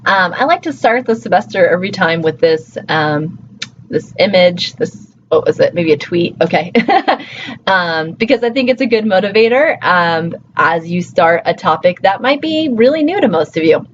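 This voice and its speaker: A woman who teaches college, giving a casual recorded lecture, full of "um"s and quick self-corrections. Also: lowish voice, lively intonation. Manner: casual and chatty, with many fillers and self-corrections. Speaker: college instructor. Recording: slightly muffled, faint hiss.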